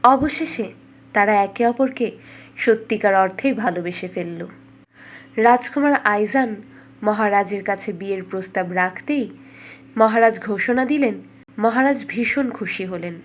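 A woman's voice: mid-range voice, measured pace, pauses often. A woman reading scripted fairytale narration for a cartoon as a storyteller.

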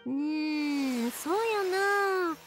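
A woman playing a cheerful, energetic big-sisterly young woman with a Kansai accent in an anime game. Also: sits high, extremely slow, loud music behind the voice, heavily acted. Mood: upbeat, teasing. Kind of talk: Japanese anime game voice acting.

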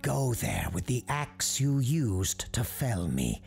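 A woman's voice: very deep voice, big pitch swings, creaky, heavily acted. Woman voicing an ancient whispering tree spirit. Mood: solemn. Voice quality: hushed, whispery, slow and stately. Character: ancient solemn talking Christmas tree spirit. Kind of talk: audio drama storytelling.

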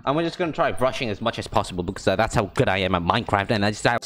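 A young man casually talking to himself while streaming a video game, rambling and joking, with high yelps in fights. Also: animated, very fast, noisy background. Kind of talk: casual gaming stream commentary.

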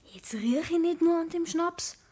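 A teenage apprentice lad, acted by a young man in an old radio play. His voice is high, with a Bavarian accent. He sounds sulky and defiant. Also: lively intonation, measured pace, a little mellow. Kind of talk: 1960s German radio play acting.

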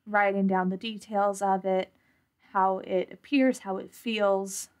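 A woman's voice: medium pitch, little pitch movement, takes it slowly, clear, resonant tone. A woman improvising narration as a player in a tabletop role-playing podcast, thinking aloud hesitantly.

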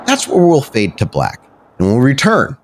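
Animated male game master, lively pitch. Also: moderate pace. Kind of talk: narrating a tabletop horror game, casual. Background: faint music.

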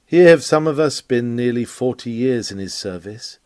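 Male sermon reader, earnest exhorting oratory, lively intonation, dry close sound. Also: medium pitch, moderate pace, no room sound. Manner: earnest, pleading, emphatic oratory.

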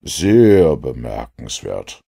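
A man voicing a cool, self-assured master detective in a measured, dry way for an audiobook. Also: very deep voice, sing-song, creaky, mellow, breathy edge, pitched below the performer's own voice, full character voice. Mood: cool, composed.

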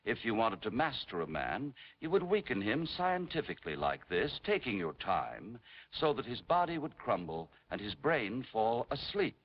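Expressive 1950s narrator, a man. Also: medium pitch. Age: middle-aged. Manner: measured, dramatic, formal. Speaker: presenter and narrator. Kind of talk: 1950s television scripted narration. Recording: dull vintage 1950s film soundtrack, faint hiss.

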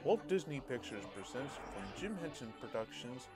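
A man's voice: medium pitch, very animated, normal speed. A man delivering scripted movie trailer narration as an announcer. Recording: loud music under the voice.

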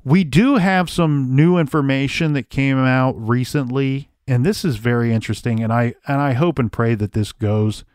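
A man's voice: medium pitch, moderate pace, hardly any pauses, a little mellow, clear, resonant tone, no room sound, clean studio sound. A man hosting a true crime podcast, reading old case records aloud and then thinking through theories in casual talk. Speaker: podcast host.

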